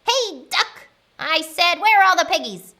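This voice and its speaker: Storybook witch, screeching, very high, piercingly bright, greedy, hot-tempered, bossy. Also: expressive, a little slow, full character voice. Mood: furious, demanding. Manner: screeching, shouting, bossy, insulting. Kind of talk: children's storybook character voice.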